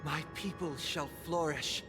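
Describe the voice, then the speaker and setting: A man's voice: on the high side, a little slow, lightly acted. An actor playing a grandiose, anguished man with godlike ambitions, declaiming theatrically in a video game. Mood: exalted. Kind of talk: dramatic video game voice acting. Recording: game cutscene with loud music.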